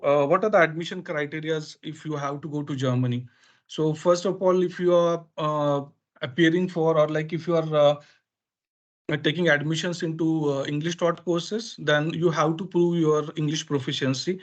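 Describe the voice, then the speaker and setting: A man with an Indian accent, a native Marathi speaker, explaining things informally as a webinar presenter in halting, filler-heavy phrases. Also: on the high side, steady tone, highs cut off. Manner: halting, hesitant phrasing with frequent filler pauses. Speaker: education consultant presenting a webinar.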